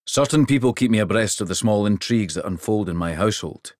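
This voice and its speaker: A man's voice: fairly low pitch, expressive, fast talker, darkish tone, dry recording, studio quality, close to an everyday voice. A man voicing a jovial, towering king who speaks in a hearty, commanding way. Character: booming jovial giant of a king.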